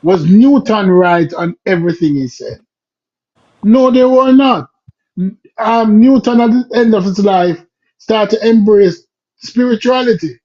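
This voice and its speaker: A man's voice: high voice, unhurried, a little mellow. A man arguing a point in a podcast discussion, firing off rhetorical questions in a lecturing way. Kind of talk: argumentative discussion. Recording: dull lo-fi audio.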